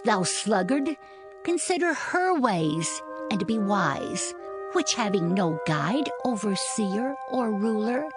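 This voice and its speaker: Slow, theatrical woman reading scripture. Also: on the high side. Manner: admonishing, theatrical. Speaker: scripture reader. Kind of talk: dramatised scripture reading in archaic English. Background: music.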